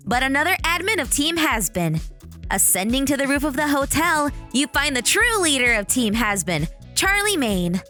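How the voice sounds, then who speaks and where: A woman doing scripted narration for a video, with a dramatic, animated storytelling delivery. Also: high-pitched.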